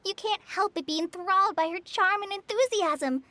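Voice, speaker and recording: High-voiced, shy teenage girl. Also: normal speed, bright, forceful tone, no echo. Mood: admiring. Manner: hesitant, polite, apologetic. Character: shy, earnest, apologetic teenage girl forest ranger trainee. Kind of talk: anime-style video game voice acting.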